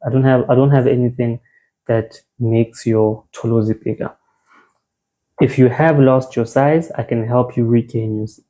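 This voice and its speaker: A man's voice: medium pitch, mellow, rough recording. A young South African man giving an informal men's health talk, conversational, repeating phrases and restarting words.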